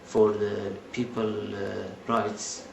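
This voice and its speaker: A man giving a slow, halting interview statement in English that is not his first language. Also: on the low side, little pitch movement, creaky. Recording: echoey room with faint background noise.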